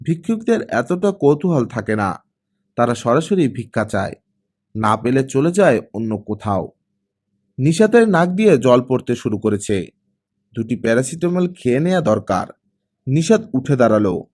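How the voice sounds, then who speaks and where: A man's voice: medium pitch, big pitch swings, moderate pace, a little mellow. A man narrating a scripted story expressively as an audiobook reader.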